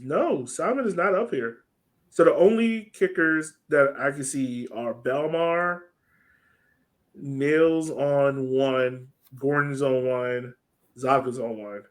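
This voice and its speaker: A man's voice: medium pitch, slow pace. Casual man hosting a sports podcast.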